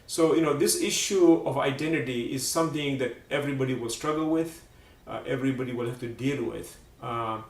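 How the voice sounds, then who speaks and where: A man hosting a live religious program, speaking haltingly with many fillers. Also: mid-range voice. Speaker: program host. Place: Seattle area. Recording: roomy, distant mic.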